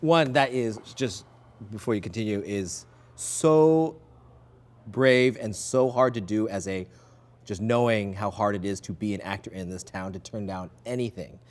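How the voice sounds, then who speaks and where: A man's voice: lowish voice, measured pace, darkish tone. A man, a film director, speaking earnestly and encouragingly in a candid conversation. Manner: earnest, encouraging, many affirming murmurs.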